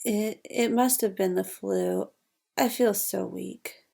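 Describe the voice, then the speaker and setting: Creaky-voiced, genteel lounge singer, played by a woman. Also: mid-range voice, takes it slowly, mellow, heavily acted. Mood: weak, shaken. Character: genteel, theatrical lounge singer in her thirties, evasive. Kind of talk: noir audio drama acting.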